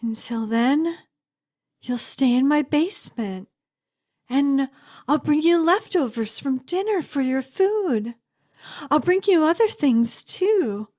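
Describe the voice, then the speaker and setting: A woman performs as a young huntress from a fantasy tale, fierce yet tender toward a lost friend. Her voice sits high and she takes her time over each phrase. The delivery is emotional and close, as ASMR roleplay addressed to one listener. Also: lively intonation, slow, darkish tone. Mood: earnest, reassuring.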